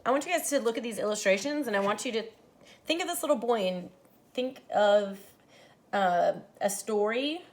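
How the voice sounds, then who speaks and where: A woman teacher reading a picture book aloud to children, expressive and dramatic, speaking to them directly. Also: a little high, normal speed. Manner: animated, dramatizes the story lines, addresses children directly. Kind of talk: expressive children's read-aloud with explanatory asides.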